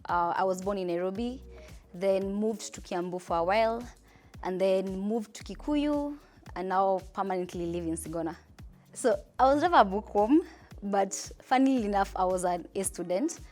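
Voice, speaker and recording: A young Kenyan woman with a Kenyan accent, telling her life story in a chatty, animated interview. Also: medium pitch, moderate pace, soft background music. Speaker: entrepreneur.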